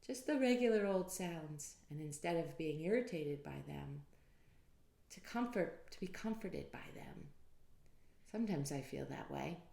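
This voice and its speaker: An animated woman storyteller reads to children, her pitch moving freely up and down. She takes her time over each phrase, speaking slowly and expressively. The sound is roomy, with audible echo. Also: vocal fry. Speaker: storyteller reading a children's book aloud.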